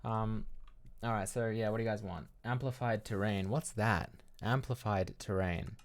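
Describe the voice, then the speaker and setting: A man's voice: on the low side, steady tone, moderate pace. An Australian man chatting casually as a livestream host, rambling loosely and thinking aloud. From Melbourne, Australia.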